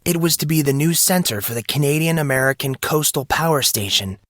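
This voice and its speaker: A man performs a smug teenage boy anchoring the news in an audio story. The voice is pushed higher, pompous and theatrical, rolling out each line like grand oratory, with a very dry sound. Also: lively intonation, fairly quick, fairly bright tone, no room sound. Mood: grandiose.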